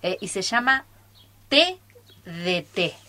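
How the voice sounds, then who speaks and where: A woman's voice: medium pitch, big pitch swings, slow pace. A chatty woman with an Argentine accent, casually presenting her radio theatre troupe's work in a studio. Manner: chatty, joking.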